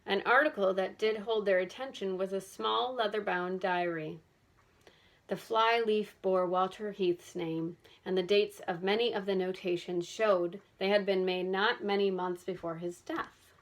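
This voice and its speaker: A woman's voice: mid-range voice, fairly even intonation, measured pace. A woman reading scripted narration from a children's mystery novel as an audiobook narrator.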